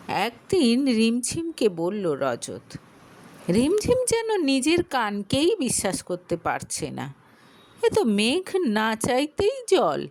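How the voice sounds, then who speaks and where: A woman narrating a romantic audio story, reading slowly and expressively. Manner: slow, expressive reading with lively pitch.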